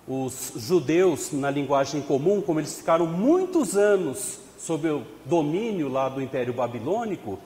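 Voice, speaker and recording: A man with a Brazilian Portuguese accent leads a religious study session, explaining scripture in a conversational way. His voice sits somewhat high for a man. He asks rhetorical questions and checks in with his listeners, and his words ring with the long reverb of an echoey hall. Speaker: study group leader at a spiritist center.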